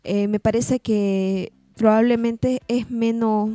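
A woman's voice: a little high, fairly even intonation, slow pace, dark tone. A woman giving informal radio commentary, hesitant, often checking in with tag questions. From provincial town. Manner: hesitant, frequent "¿no?" tags. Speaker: psychologist and radio announcer.

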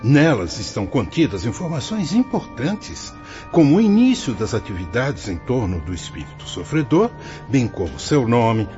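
A man acting as a wise, fatherly spirit guide in a radio drama, patient and solemn, explaining in formal, measured phrases. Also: on the low side, a little mellow. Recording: dull lo-fi audio with music behind.

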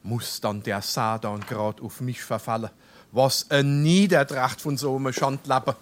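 A man's voice: mid-range voice, steady tone, a little slow, natural voice. An actor playing an indignant middle-aged householder and father in a stage comedy, speaking Darmstadt dialect in a blustery, exclamatory way. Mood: agitated outrage. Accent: Hessian, Darmstadt dialect.

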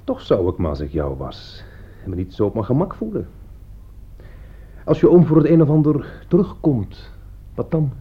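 An actor playing a bitter, sardonic man in a radio play, husky, pointed and halting. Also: medium pitch, sing-song, close to an everyday voice. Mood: sardonic, uneasy. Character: bitter, sardonic man who warned his friend. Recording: dull lo-fi radio play recording.

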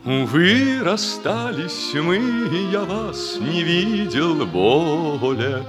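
An actor playing a flustered, smitten middle-aged army major in a 1960s radio comedy, with a theatrical, drawn-out delivery. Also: male voice, fairly high pitch, very animated, exaggerated voice. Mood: lyrical, lovelorn. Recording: 1960s radio play, music behind.

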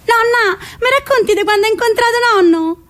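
A woman's voice: very high-pitched, a little fast, fairly bright tone, pitched above the performer's own voice. A woman playing an eager little granddaughter, coaxing in a childlike way with a Roman-area accent, in a theatrical monologue. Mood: eager asking. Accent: central Italian, Roman area.

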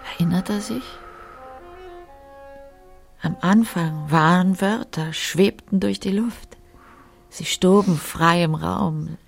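A wistful middle-aged woman in a literary radio play. She muses slowly in poetic fragments, sometimes hushed, with a creaky low voice. Faint music sits behind her. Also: heavily acted. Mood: wistful, tender. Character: brooding, wistful woman translator, long married, lost in words.

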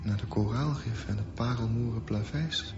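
A man's voice: medium pitch, a little fast, close to an everyday voice. An actor playing an obsessed young man in a radio drama, speaking poetic, intimate, morbidly tender inner monologue. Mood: soothing, eerie. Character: obsessed dreamy young male swimmer luring girl to drown. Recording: dull, echoey radio play recording under music.

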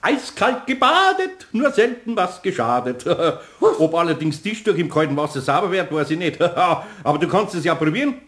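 A man's voice: on the high side, sing-song, moderate pace, hardly any pauses, a bit bright. A man playing a good-natured, teasing older master craftsman with a Bavarian accent in a children's radio play. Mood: amused teasing. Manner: chuckling, teasing, easygoing.

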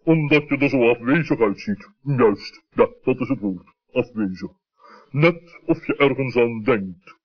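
A wise, stern owl, acted by a man, speaks in a husky voice with deliberate, fatherly authority and some pomposity. The accent is Netherlands Dutch, and the old radio play sound is muffled. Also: mid-range voice, expressive. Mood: inquiring, composed. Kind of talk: 1960s children's radio play acting. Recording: muffled vintage radio recording.

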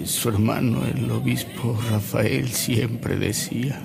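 An actor with a Mexican accent playing a courteous elderly man who tells reverent stories in a gravelly voice in a radio drama. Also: mid-range voice, fairly even intonation, heavy background noise. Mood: reverent reminiscing. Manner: courteous, reverent, unhurried storytelling. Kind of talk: Spanish-language radio drama acting.

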